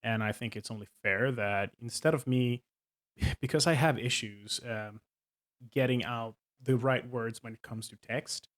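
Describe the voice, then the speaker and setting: A man's voice: medium pitch, measured pace, fairly bright tone. A man in his thirties with a Swedish accent, explaining himself to viewers in a casual, hesitant way, full of ums and restarts. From Sweden. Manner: hesitant, many ums and uhs, self-correcting. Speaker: game master.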